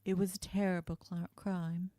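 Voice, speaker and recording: A woman playing a kind, earnest and quietly defiant frontier schoolteacher in an amateur radio play, explaining things in a measured way. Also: on the low side, little pitch movement, no room sound, studio quality, natural voice. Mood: somber, explanatory.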